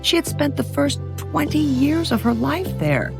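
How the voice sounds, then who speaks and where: A woman reading a scripted biography for children as a narrator, with expressive, dramatic storytelling. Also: sits high, measured pace, creaky, over music.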